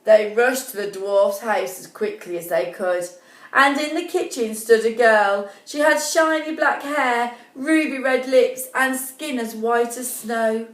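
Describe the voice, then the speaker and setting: A woman reading a children's story aloud expressively and deliberately, as a school storyteller. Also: fairly high pitch, fairly bright tone. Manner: deliberate, prompts young listeners with questions. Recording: echoey room, distant microphone.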